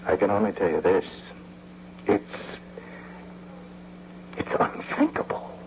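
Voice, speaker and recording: A man acting in a radio play as a hesitant, anxious architect, halting and flustered in his delivery. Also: low-pitched, fairly even intonation. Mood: flustered, protesting. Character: hesitant, anxious architect friend drawn into crime. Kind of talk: 1980 radio drama acting. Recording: muffled, noisy old radio broadcast.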